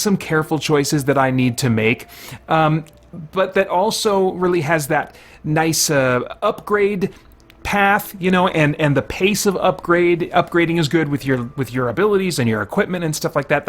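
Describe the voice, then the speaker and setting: A man's voice: on the high side, fairly bright tone. A man hosting a game review series, giving casual commentary in a conversational way, as if thinking aloud.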